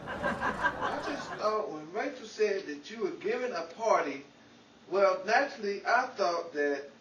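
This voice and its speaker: An actor playing a vain, cheap, scheming husband in a 1940s-style radio comedy, with an excitable, whiny, blustering manner. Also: male voice, high voice, unhurried, exaggerated voice. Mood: smug, put out. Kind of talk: 1940s old-time radio comedy acting. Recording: echoey, distant, noisy with crowd ambience.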